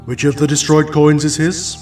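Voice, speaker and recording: A man playing an ancient, solemn alien sage in an audio drama, speaking in a measured, deliberate way. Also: a little high, little pitch movement, a little mellow, faint music behind, close to an everyday voice. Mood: resolved, explaining.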